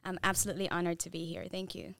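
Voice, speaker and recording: A woman who grew up in Saudi Arabia with Tigrinya as her first language speaks as a guest on a podcast, earnest and articulate and quick to laugh. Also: lowish voice, big pitch swings, creaky, fairly bright tone, dry recording, studio quality. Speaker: podcast guest, brand owner. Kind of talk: casual conversational interview.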